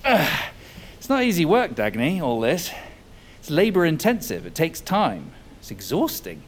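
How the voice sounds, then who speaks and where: A man's voice: on the high side, wildly swooping pitch, a little slow. Male mechanic, cynical, needling, sardonic, very varied intonation, audio drama, faint background noise. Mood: irritated, weary. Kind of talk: naturalistic fiction podcast acting.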